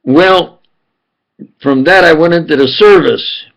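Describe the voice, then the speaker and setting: An older man from upstate New York with a New York accent, telling his life story in a slow, halting monologue with sudden emphatic stresses. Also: fairly high pitch, vocal fry, a bit bright. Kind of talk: rambling personal monologue.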